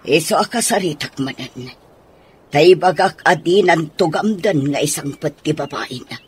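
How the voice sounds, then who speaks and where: A woman's voice: sits low, animated, normal speed, breathy edge, exaggerated voice. A woman with an Ilocano accent acting as an older, sympathetic mother-in-law who is indignant at her son, in a radio drama. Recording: noticeable background noise.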